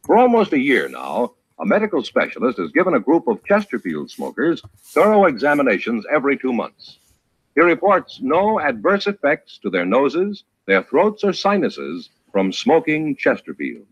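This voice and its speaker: A man working as a radio announcer and pitchman, emphatic and deliberate in a 1950s announcing style. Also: huge pitch swings, slightly rough, dry recording. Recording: dull old radio recording with music.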